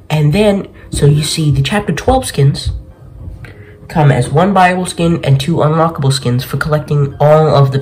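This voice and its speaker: A man's voice: on the high side, a little mellow. A young man hosting a gaming video with casual gameplay commentary, speaking into a desk mic in a bedroom. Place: small bedroom, indoors.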